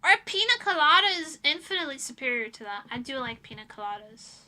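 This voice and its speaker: A woman from Europe chatting casually on a livestream in an animated, dramatic manner. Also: high-pitched, fast. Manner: animated, dramatic exaggeration, swearing. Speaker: livestreamer, VTuber.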